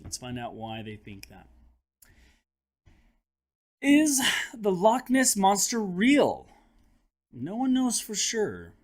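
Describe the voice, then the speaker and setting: A man hosting a children's read-aloud, telling the story in a dramatic, expressive way with chatty asides. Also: high-pitched, slow pace, pauses often. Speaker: host and teacher reading aloud to children.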